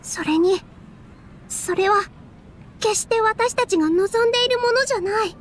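女性が演じる、ゲームの若い踊り子。柔らかく丁寧で誠実な話し方の優しい娘。. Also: female voice, very high voice, normal speed, a little hiss or hum. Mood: earnest, troubled. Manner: soft, patient, sincere. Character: gentle, earnest young female dancer, encouraging friend.

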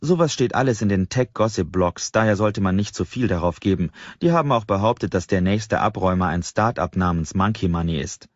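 A man with a husky voice, narrating a novel in the first person as an audiobook reader. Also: deep voice, expressive, a little fast, few pauses. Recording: slightly dull, roomy sound.

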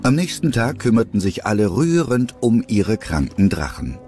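A man's voice: fairly low pitch, very animated, moderate pace, music in the background. A man reading scripted narration for an audio drama.

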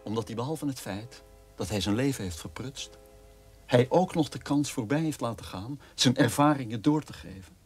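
A man's voice: medium pitch, lively intonation, normal speed, a little mellow, breathy edge. A bitter left-wing son in a radio play, with music under his voice. He sounds earnest and accusatory, declaiming against his family's past in a mid-range male voice. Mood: bitter, hateful.

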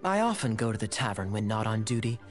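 An actor playing a wry young cavalry captain in a video game, smooth and polished in manner. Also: male voice, on the low side, very animated, quick pace, soft background music. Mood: suave, wry.

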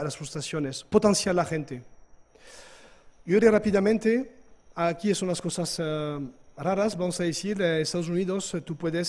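A man, giving a conference talk in a hall with halting hesitations and restarts. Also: on the high side, darkish tone. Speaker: conference speaker. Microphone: lectern microphone.

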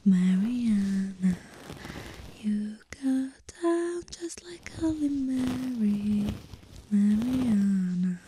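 A woman voicing a possessive, teasing female demon in a breathy, coaxing ASMR roleplay. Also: medium pitch, animated, extremely slow, mellow, a little hiss or hum, poor audio. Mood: slow, lulling. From Italy. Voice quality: breathy, whispery.

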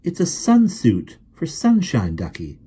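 A man's voice: medium pitch, wildly swooping pitch, unhurried, mellow, husky, pitched above the performer's own voice, heavily acted. Man doing a teasing teenage girl. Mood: tart. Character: teenage girl, elder sister, tart and teasing.

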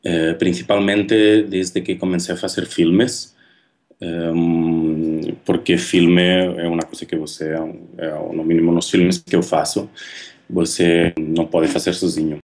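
Man, 30s, Spanish accent, very low voice, hesitant, fillers, close webcam, indoors. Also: little pitch movement, a little mellow. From Spain. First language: Spanish or Catalan. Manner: halting, thoughtful, many fillers and restarts. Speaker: artist. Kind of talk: reflective monologue to camera. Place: indoor room at home. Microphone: webcam at close range.

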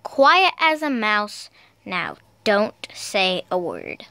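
A woman reading picture books aloud for toddlers in a sing-song, deliberate, child-directed way. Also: medium pitch, huge pitch swings, pushed and bright, no echo. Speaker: storyteller, video host.

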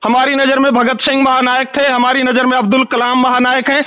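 A man's voice: very high-pitched, flat delivery, a little fast, pushed and bright, clean tone, no room sound. An angry man making a heated complaint as a customer on a phone call, insistent and repetitive. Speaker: complaining customer calling a helpline.

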